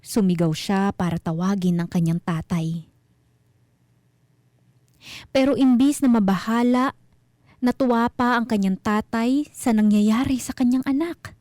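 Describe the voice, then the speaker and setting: A woman narrating a scripted horror story for radio, with deliberate, suspenseful phrasing. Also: a little high, steady tone, darkish tone, dry recording, clean studio sound.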